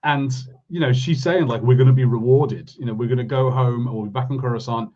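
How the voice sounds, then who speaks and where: A man's voice: mid-range voice, quick pace, lo-fi sound. A man co-hosting a podcast, talking through a review casually in a rambling, questioning way. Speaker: podcast co-host.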